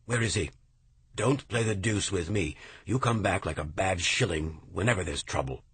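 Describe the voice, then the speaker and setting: Guy reading a pushy hotheaded poet, deep husky voice, fast and blunt. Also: a bit bright, no room sound, exaggerated voice. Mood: urgent. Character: impulsive, forceful middle-aged poet.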